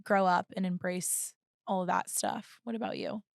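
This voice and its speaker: A woman's voice: lowish voice, steady tone, moderate pace, creaky, no room sound, studio quality. A young woman co-hosting a podcast, speaking reflectively in a casual chat and often saying "like". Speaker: podcast co-host.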